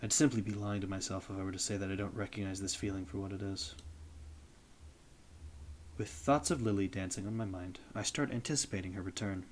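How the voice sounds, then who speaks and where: A young man with a low voice reads a visual novel aloud on stream. His delivery is steady and even, with the odd small slip as he reads. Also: brisk, slight background noise.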